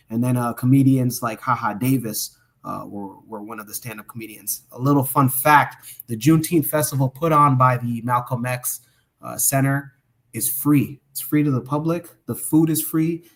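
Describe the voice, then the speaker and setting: A man from Omaha, co-hosting a livestream podcast, giving enthusiastic closing shout-outs with frequent hesitations and fillers. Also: mid-range voice, moderate pace. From Omaha, Nebraska. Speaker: podcast co-host.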